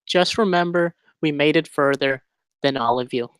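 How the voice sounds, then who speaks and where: A woman's voice: low-pitched, normal speed, clean tone, no echo, natural voice. A woman playing a cocky, sarcastic young boy drone racer in a script table read. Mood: defiant.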